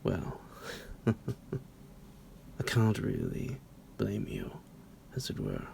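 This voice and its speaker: A man playing a centuries-old psychotic vampire captor in an ASMR roleplay, possessive and mock-tender, speaking theatrically in a breathy, gravelly whisper that drops into a low creak. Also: huge pitch swings, darkish tone, a little hiss or hum, heavily acted. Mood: coaxing, amused. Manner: slow, theatrical, menacingly affectionate, chuckling. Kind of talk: ASMR roleplay addressed to the listener.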